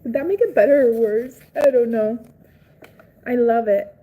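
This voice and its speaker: A young woman from Cuba, raised in Florida, chatting casually on a live stream, greeting viewers by name and reacting excitedly. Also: on the high side, moderate pace, phone-quality sound. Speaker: livestream host.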